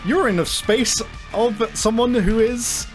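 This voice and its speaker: A man acting as game master, improvising narration and rulings for a tabletop game in deliberate, hesitant phrases. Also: very high voice. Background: faint music.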